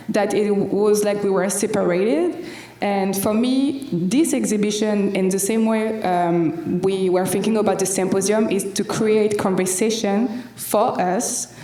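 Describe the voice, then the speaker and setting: A woman's voice: mid-range voice, mellow. A woman from France with a strong French accent, speaking English hesitantly on a panel with many fillers and restarts. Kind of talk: panel discussion. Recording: roomy hall, mic at a distance.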